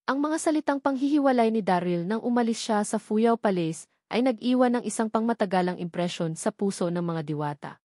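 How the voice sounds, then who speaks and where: A woman's voice: mid-range voice, a little mellow, no echo. Woman narrating a novel, dry close sound. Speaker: audiobook narrator.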